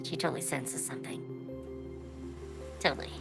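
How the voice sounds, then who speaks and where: A woman giving casual, wry and teasing commentary as she streams a video game. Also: normal speed. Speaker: gaming streamer. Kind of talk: casual let's play commentary. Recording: game music behind voice. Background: video game music.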